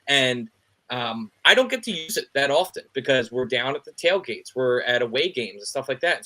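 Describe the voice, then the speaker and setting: A man co-hosting a sports podcast, chatting casually and excitably about his team. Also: sits high. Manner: excitable, animated, says "bro" and "man". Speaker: podcast co-host.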